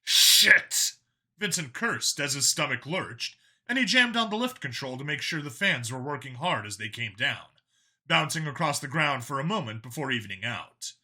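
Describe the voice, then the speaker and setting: Casting: male audiobook narrator, very bright forceful voice, animated pitch, dramatic storytelling. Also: moderate pace.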